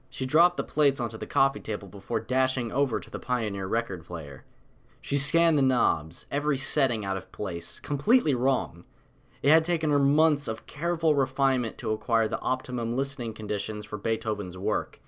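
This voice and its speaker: A man's voice: mid-range voice, fairly bright tone. A man reading a scripted story aloud as an audiobook narrator.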